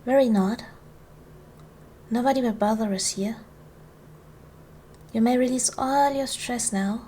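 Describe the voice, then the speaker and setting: High-voiced gracious duchess, played by a woman, soft ASMR. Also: slight background noise, natural voice. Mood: gentle, reassuring. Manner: formal, measured, soothing. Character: gracious, commanding noble duchess, protective of her servant. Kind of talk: ASMR roleplay, soft formal period speech.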